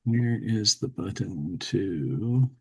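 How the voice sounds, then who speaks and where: A man's voice: on the low side, expressive, very slow, vocal fry, slightly rough. A man hosting a casual talk show, thinking aloud as he chats and reads bits from a magazine. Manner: thinking aloud while working. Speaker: podcast host.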